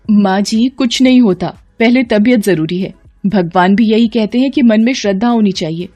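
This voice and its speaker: A woman's voice: mid-range voice, normal speed, mellow, natural voice. Storybook young daughter-in-law, played by a female narrator: caring, anxious, respectful, light music underneath.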